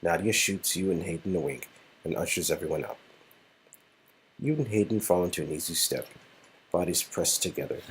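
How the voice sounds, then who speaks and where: A man's voice: deep voice, lively intonation, normal speed, pauses often, background noise. A man reading scripted second-person romance narration and dialogue from an interactive story. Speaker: narrator and co-reader.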